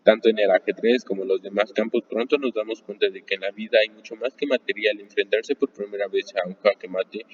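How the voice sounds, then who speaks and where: A man reading a nonfiction book aloud in a live session, at a measured pace with the odd restart. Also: lowish voice, fairly even intonation, slightly rough. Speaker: reader of a book. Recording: distant mic, long reverb, dull lo-fi sound.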